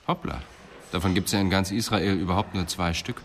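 A man's voice: deep voice, steady tone, fairly quick, fairly bright tone, slight background noise. A man playing a pensive, dryly ironic police detective in a radio crime drama, speaking in a thoughtful, clipped way. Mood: dry, alert.

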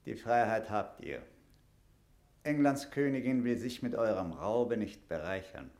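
Stern jailer knight in an echoing room. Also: male voice, lowish voice, measured pace, darkish tone. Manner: solemn, declamatory stage diction. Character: stern, suspicious middle-aged English knight guarding a captive queen. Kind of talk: classical German verse radio drama acting.

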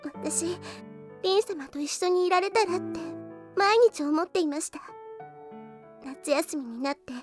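A woman's voice: extremely high pitch, a little slow, pauses often, soft background music. A woman playing a demure, devoted, very polite young heroine in a Japanese anime audio drama, speaking hesitantly. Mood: apologetic, wistful. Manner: very polite, hesitant, apologetic speech.

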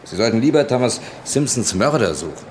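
A man's voice: mid-range voice, lively intonation, brisk, loud music behind the voice, lightly acted. An actor playing an irritable, defensive younger man who is both suspect and narrator in a radio crime drama. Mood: irritated.

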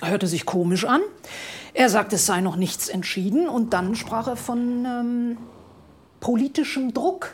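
An actress playing a blunt, wry police detective in a naturalistic radio drama, talking in a direct, dry, probing way. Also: female voice, on the high side, unhurried, slight background noise, close to an everyday voice. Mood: earnest, pointed.

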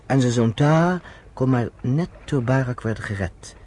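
An actor playing a man who recounts history to a woman friend, in measured, explanatory radio-play narration, on a noisy old recording. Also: mid-range voice, lively intonation, a little mellow, natural voice. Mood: measured, serious.

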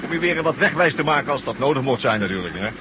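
Actor as hearty old trucker pal, faint hiss. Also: male voice, medium pitch, animated, fast, natural voice. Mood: easygoing. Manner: hearty, familiar, teasing. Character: jovial, chummy male trucker, loyal old pal. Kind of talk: Dutch radio drama acting. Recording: faintly hissy old radio recording.

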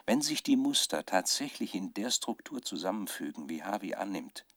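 Low-voiced scientist dictating his diary. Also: very animated, a bit bright, no room sound. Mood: sober, reporting. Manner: halting, searching for words, dramatic shifts from musing to fervour. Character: male scientist keeping an excited, troubled spoken research diary. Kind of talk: radio drama monologue as dictated audio diary.

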